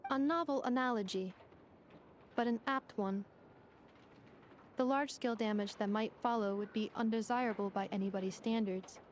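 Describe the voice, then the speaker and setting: A woman voicing a polite, precise young female robot assistant in a video game, speaking in a measured, formal, clinical way. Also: on the high side, a little hiss or hum, close to an everyday voice.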